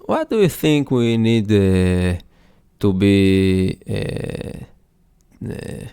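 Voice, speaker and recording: A man with an Arabic accent co-hosting a casual podcast discussion, speaking halting English and searching for words with many fillers. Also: fairly low pitch, big pitch swings, dark tone, clean tone. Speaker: podcast co-host.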